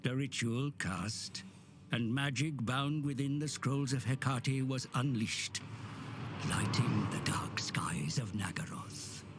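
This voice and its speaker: A male actor playing a grave, ominous storyteller, narrating an epic fantasy game cutscene in a measured, dramatic way. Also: mid-range voice, close to an everyday voice. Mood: dramatic, foreboding. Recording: loud cinematic music behind the voice. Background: music.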